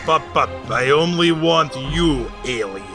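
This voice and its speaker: A man's voice: a little high, animated, a little slow, over loud music. A man playing a fierce, boastful hunter villain in a dramatic table read, grandiose and menacing.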